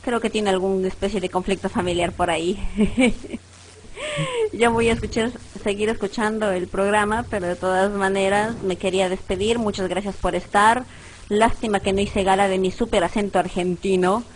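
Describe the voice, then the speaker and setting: A woman's voice: medium pitch, fairly even intonation, moderate pace, a little hiss or hum, rough recording. Chatty nasal woman saying goodbyes. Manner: chatty, laughing, rambling goodbyes. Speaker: guest. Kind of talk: casual online radio chat.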